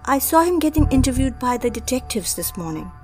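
An actress in an audio drama playing a friendly, slightly flustered coworker, eager and awkwardly polite. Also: female voice, high voice, sing-song, fairly quick, darkish tone, faint music behind. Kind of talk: naturalistic audio drama acting.

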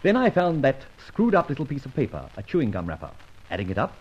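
An actor playing a suave, playful, self-assured gentleman adventurer in an old-time radio drama, with theatrical, teasing flourishes. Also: mid-range voice, huge pitch swings, fast, husky. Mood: smug, explanatory. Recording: dull vintage radio recording.

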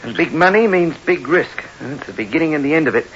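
A dogged police inspector presses on courteously in a forceful, lively voice of medium pitch. The old radio drama recording is dull and faintly hissy. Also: male voice, fast talker. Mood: brisk, businesslike. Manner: probing, insistent questioning. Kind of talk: 1960s radio drama acting.

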